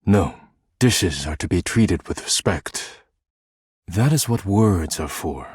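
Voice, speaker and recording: An actor voicing a stern, proud, duty-bound living dishware caretaker in a video game, with a formal, clipped, solemn delivery and a husky voice. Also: male voice, low voice, expressive, slow, a little mellow, no room sound, studio quality, heavily acted. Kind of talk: video game character voice lines.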